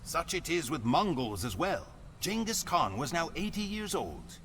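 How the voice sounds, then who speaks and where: Grave Mongol warrior storyteller, dramatically inflected. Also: male voice, on the high side, very animated, measured pace, a bit bright, slight background noise. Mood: solemn, awed. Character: solemn, proud Mongol warrior recounting his people's conquests. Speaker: campaign narrator. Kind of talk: dramatic scripted cutscene narration. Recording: music behind the voice. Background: music.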